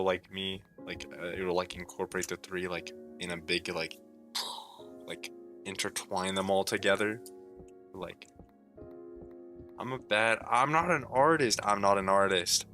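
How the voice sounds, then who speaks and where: A tired young man with a sore throat, rambling laid-back and low on a chill stream, with plenty of "like" fillers. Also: bright, forceful tone. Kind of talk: casual rambling stream talk.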